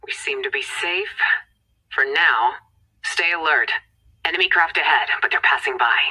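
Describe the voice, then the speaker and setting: A woman's voice: low-pitched, moderate pace, pushed and bright, slightly rough, heavily acted, rough recording. A woman playing an urgent, commanding scientist in a video game, giving terse, tense mission directions. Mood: tense, cautious.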